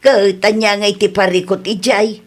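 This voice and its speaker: A woman's voice: mid-range voice, level tone, moderate pace, close to an everyday voice. A woman with an Ilocano accent playing an outspoken, thrifty village woman in a comedy radio drama. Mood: disapproving.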